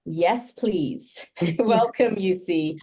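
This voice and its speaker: A woman's voice: fairly low pitch, extremely slow, dark tone, breathy edge. Effusive woman podcast host. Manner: effusive, welcoming. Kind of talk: warm podcast interview.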